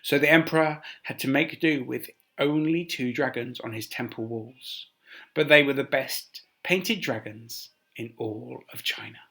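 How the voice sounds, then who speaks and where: A man reading a children's story aloud as a teacher, expressive and with dramatic emphasis. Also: mid-range voice, unhurried.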